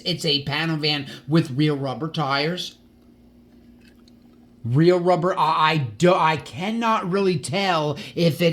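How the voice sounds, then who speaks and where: Man, high voice, slow pace, slight stutter, restarts, enthusiastic, casual collector vlog. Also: sharp tone. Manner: halting, repeats words and restarts often; stutters at times. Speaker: collector showing diecast models.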